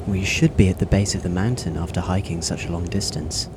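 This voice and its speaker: A man playing a polite, composed teenage boy in a fan audio drama, speaking in a formal, measured, analytical way. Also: low voice, noisy background.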